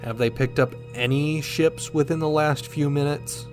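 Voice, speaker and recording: A man's voice: on the high side, steady tone, normal speed, natural voice. Man acting a bold starship captain, music behind. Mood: commanding. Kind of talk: sci-fi radio drama acting.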